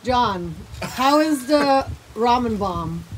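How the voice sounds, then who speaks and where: A woman's voice: on the high side, animated, slow pace, rough recording. A young woman vlogging on a forest hike, filming with a phone at arm's length and cheerfully asking her friends questions. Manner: upbeat, asks friends questions. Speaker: vlogger and dance teacher. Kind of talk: casual hiking vlog interviews. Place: outdoors on a forest trail. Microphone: phone camera at arm's length. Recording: outdoor noise behind.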